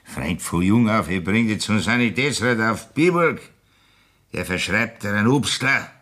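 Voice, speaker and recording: A man's voice: lowish voice, animated, normal speed, fairly bright tone. An actor with a Bavarian accent playing a boisterous, boastful rich farmer in a 1960s radio play, coaxing his friend to drink.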